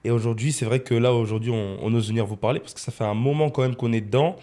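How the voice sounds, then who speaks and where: A man's voice: medium pitch, steady tone, fast, warm tone. A man of twenty-one hosting a casual podcast in a studio, rephrasing his points for emphasis. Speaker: podcast host, freelance video editor.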